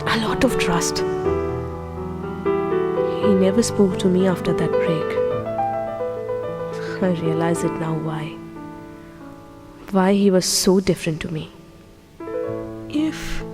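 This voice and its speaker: An actress with an Indian English accent playing a young woman remembering an old friend, musing softly to herself. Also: medium pitch, expressive, takes it slowly, long pauses, over music. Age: young adult. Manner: soft, musing inner monologue. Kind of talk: audio drama acting with inner monologue.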